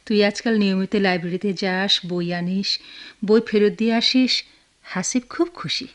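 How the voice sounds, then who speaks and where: An actress playing a caring, anxious sister-in-law in a family radio drama, with a homely, probing manner and a Bangladeshi accent. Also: female voice, medium pitch, steady tone, normal speed, natural voice. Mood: gentle concern. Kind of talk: Bengali radio drama acting.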